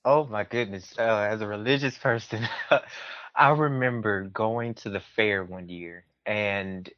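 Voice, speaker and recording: Young male guest, bright lowish voice, casual storyteller, slightly dull lo-fi sound. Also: unhurried, dry recording. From southern United States. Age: early 20s. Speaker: podcast guest.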